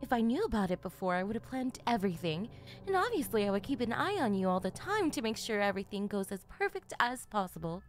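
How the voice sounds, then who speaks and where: A woman's voice: mid-range voice, very animated, fast, lightly acted. A woman voicing a doting, obsessive yandere mother, chatty and sugary, in an ASMR roleplay. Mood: gushing, cheerful.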